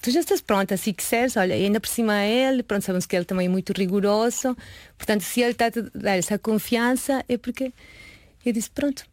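A woman in a close, dry recording. Her pitch sits in the middle for a woman. She tells her story in a chatty, informal way, voicing both sides of past conversations as an interview guest. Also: quick pace, a little mellow, dry recording. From Mexico. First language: Spanish. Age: late 30s. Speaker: guest, pastry chef. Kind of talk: casual autobiographical storytelling in an interview.

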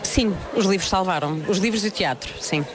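A woman's voice: mid-range voice, fairly quick, crowd noise. A woman, a book fair programmer giving fluent, reflective answers in a radio interview at an outdoor fair.

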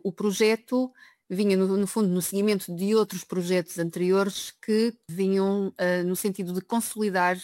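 A woman answering questions, often pausing on fillers and correcting herself. Her voice is mid-pitched and recorded dry and close. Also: no echo. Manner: hesitant, many fillers and self-corrections. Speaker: guest expert, project coordinator. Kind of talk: spontaneous interview answers.

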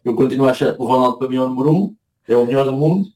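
Fast-talking Portuguese man, mellow voice. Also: medium pitch, slight background noise, low-quality recording. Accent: European Portuguese. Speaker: interview guest, emigrant living in Argentina. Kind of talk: casual anecdotal chat.

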